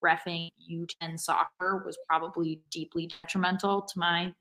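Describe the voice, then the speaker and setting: American woman, slightly low pitch, bright forceful tone, podcast co-host, casual, wry. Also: level tone, moderate pace, slight background noise, rough recording. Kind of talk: casual sports podcast chat.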